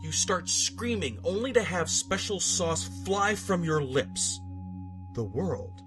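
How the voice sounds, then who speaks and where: A man giving a solemn, theatrical and deliberate scripted narration of a strange horror story. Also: sits high, sing-song. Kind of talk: dramatic scripted creepypasta narration. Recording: music bed behind the voice. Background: background music.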